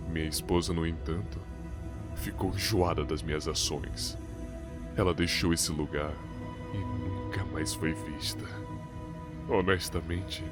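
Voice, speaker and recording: A very low-voiced old monster king, acted by a man, weary and regretful. He speaks in solemn, measured game-drama monologue, gentle beneath the gravity, with music clearly playing behind him.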